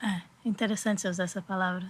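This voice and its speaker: A woman's voice: medium pitch, fairly even intonation, fast talker, dry recording. A young woman from Brazil with a Bahian accent, reflecting thoughtfully as a guest in a casual interview, restarting her sentences often. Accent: Bahian Portuguese. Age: young adult. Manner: reflective, with frequent restarts and fillers. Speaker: guest artist.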